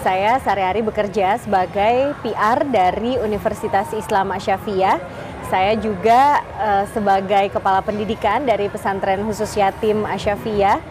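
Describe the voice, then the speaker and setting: A twenty-nine-year-old woman speaking in a measured, earnest way in an interview. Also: medium pitch, steady tone, noisy background. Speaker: interviewee, TV presenter and PR officer. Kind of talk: personal testimony interview.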